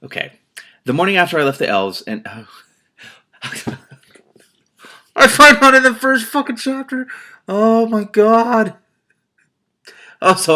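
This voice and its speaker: A man reading a novel aloud as host, with casual, exasperated asides in an expressive manner. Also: on the high side. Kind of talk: audiobook-like reading with casual reactions.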